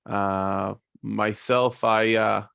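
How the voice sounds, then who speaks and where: A man in his forties from Israel with an Israeli accent, a guest on a video call explaining his business in a measured way with fillers. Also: on the low side, fairly even intonation, vocal fry, fairly bright tone, smooth, dry recording, studio quality. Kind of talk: casual interview talk.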